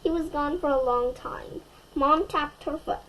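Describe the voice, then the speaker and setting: Slow, high-voiced woman reading to children. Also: poor audio. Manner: expressive, asks the young listeners questions. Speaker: storyteller. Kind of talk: children's picture book read-aloud.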